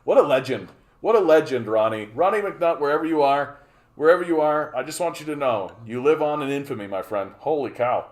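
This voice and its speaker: A man's voice: fairly high pitch, brisk. 40yo canadian guy chatting on his stream, rambly, lots of uhs, says hi to chat a lot. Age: 40s. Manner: rambling, repeats phrases, many fillers; greets viewers by name. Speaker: livestream host. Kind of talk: casual livestream chat.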